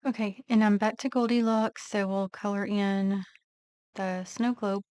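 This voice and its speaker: Relaxed woman thinking aloud, dry sound. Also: mid-range voice, measured pace, clear, resonant tone, no echo. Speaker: nail art vlogger. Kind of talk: casual step-by-step narration while working.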